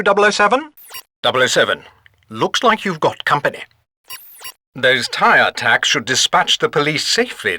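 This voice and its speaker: An actor playing a fussy British gadget master in a spy video game, speaking formally in a clipped, dryly exasperated way. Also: male voice, medium pitch, sharp tone, heavily acted. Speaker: mission support quartermaster. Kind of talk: video game mission briefing voice acting.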